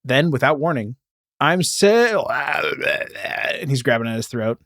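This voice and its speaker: A man with a very lively, swooping delivery retells a comic book story in a dry, close recording. He hosts it like a podcast and throws himself into the characters' lines with drama. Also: mid-range voice, slow pace, vocal fry, dry recording. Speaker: podcast host.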